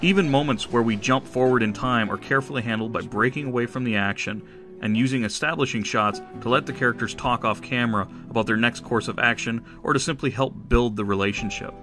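A man reading a scripted video essay as narrator and reviewer, in a measured, analytical manner. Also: mid-range voice, over music.

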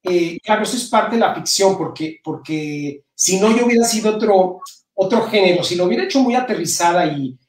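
A middle-aged man from Mexico with a Mexican accent touched by Spain, talking casually and haltingly as an interview guest. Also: sits high, lively intonation, a little hiss or hum. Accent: Mexican Spanish with some Spain influence. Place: indoor room at home, on a video call. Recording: roomy, distant-mic video call.

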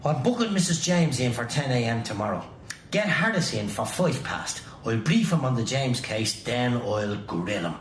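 A man acts an Irish private detective in a radio drama, brisk and dryly wry. His mid-pitched voice moves up and down a lot as he gives clipped orders. There is clear rumbling noise behind him. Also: very animated, close to an everyday voice. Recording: rumbling background noise, some room echo.

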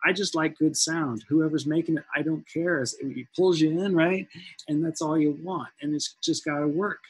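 A man's voice: a little high, fairly even intonation, normal speed, slightly rough, no room sound, rough recording. A man in his forties speaking as a guest music professor on a panel video call, thoughtful and conversational, with a headset mic in a room at home. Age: 40s. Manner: thoughtful, conversational, many fillers.

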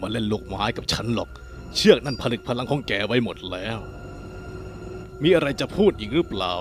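A man's voice: medium pitch, lively intonation, a little fast, faint music behind, close to an everyday voice. A man voicing sneering cowboy demon assassin. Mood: menacing. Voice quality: sneering and crude, with bursts of shouting. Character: cocky cowboy demon assassin, male, adult, menacing. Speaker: voice actor in a fan dub. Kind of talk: cartoon fan dub acting.

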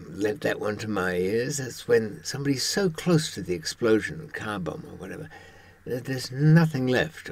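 Husky, low-voiced older man, wryly musing. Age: 70s. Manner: wry, darkly humorous, hesitant searching for words. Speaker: host recounting experiences from a criminal past. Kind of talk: rambling monologue to camera.

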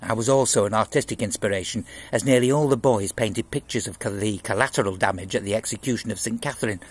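A man in his sixties with a husky voice, reading a children's novel aloud in an expressive storytelling style, outdoors. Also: fairly low pitch, quick pace. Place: outdoors in a garden. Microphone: phone at arm's length.

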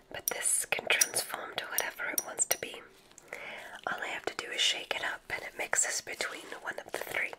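A woman performing a witch examiner ASMR roleplay in a breathy whisper, with a gentle, soothing, deliberate and encouraging manner. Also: rough recording.

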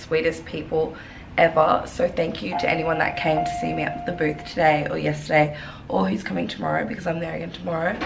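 A woman in her twenties chatting casually to camera as a vlogger, her voice hoarse from a cold, often saying "like" as a filler. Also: fairly low pitch. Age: 20s. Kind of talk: casual vlog chat to camera. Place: changing: cabs, hotel hallway, expo rooms. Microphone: camera at arm's length. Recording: noisy handheld recording with loud background.

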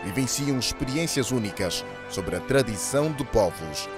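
A man narrates a news feature in a formal, promotional read. His pitch is mid-range. Music plays clearly under the voice. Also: lively intonation, moderate pace.

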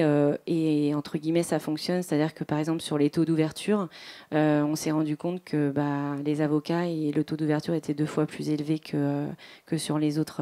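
A woman's voice: deep voice, dark tone. A woman in her thirties giving an informal, somewhat hesitant talk on a conference stage with a handheld microphone. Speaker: panel speaker. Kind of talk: conference case-study talk. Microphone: handheld microphone held close.

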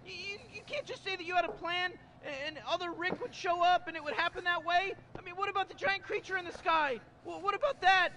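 A very high, squeaky teenage boy who stammers over nearly every phrase. He sounds nervous and whiny, tripping over his words as he protests. The recording picks up open outdoor street sound. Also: fairly even intonation, brisk, few pauses, pushed and bright, slight background noise. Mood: exasperated.

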